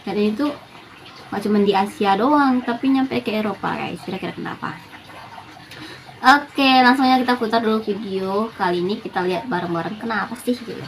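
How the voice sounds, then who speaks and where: A woman's voice: mid-range voice, brisk, poor audio. A woman hosting a reaction video, chatting casually and reacting with short interjections.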